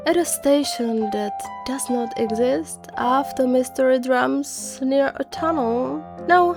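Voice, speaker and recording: Music plays clearly under a woman with a fairly high voice. She hosts and narrates scary stories in a conversational way. Her delivery leans on short, dramatic phrases, broken up by dry, personal asides. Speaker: narrator and host of horror legend videos. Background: background music.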